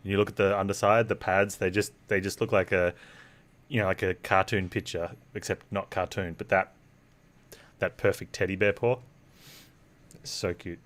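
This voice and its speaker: A man's voice: low-pitched, level tone, moderate pace, pauses often, a bit bright. A man chatting casually as he streams a video game. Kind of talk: casual livestream chat.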